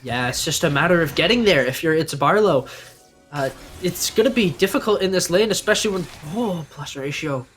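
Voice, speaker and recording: Conversational male esports analyst, slightly high voice. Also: expressive, fairly quick, fairly bright tone, faint music behind. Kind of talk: live analytical match commentary.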